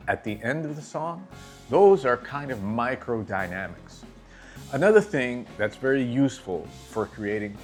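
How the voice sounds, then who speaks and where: A man teaching drumming in a relaxed, conversational way, asking rhetorical questions and checking in with his listeners. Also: mid-range voice. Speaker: drum teacher. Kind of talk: instructional talk to camera.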